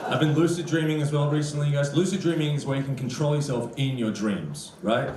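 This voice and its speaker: A man's voice: fairly high pitch, a little fast, darkish tone, echoey room, slight background noise. A young Australian man doing a live stand-up comedy set, joking with the audience in a dry, conversational manner. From Sydney, Australia. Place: on stage in a comedy club. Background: crowd laughter.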